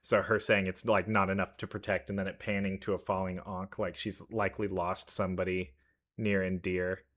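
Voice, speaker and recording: A man's voice: deep voice, little pitch movement, normal speed, a bit bright. A man hosting a reaction video, chatting casually and enthusiastically as he thinks aloud. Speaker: reaction video host, gamer.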